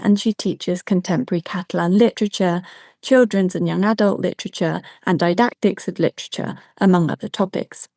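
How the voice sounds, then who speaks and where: Gravelly-voiced woman introducing speakers. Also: fairly low pitch, no echo, studio quality. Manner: polite and steady, with "uh" fillers. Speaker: seminar co-organizer introducing speakers.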